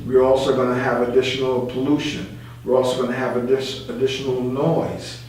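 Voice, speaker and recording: A man's voice: mid-range voice, level tone, fairly quick, darkish tone. A man speaking as a community advocate guest in an informal radio discussion, explaining and repeating phrases to stress his points. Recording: very echoey room, distant mic, faint noise.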